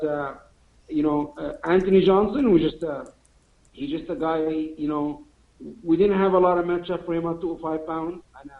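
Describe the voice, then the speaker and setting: Echoey phone-line man. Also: a little high. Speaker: fight promotion executive. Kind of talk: media conference call.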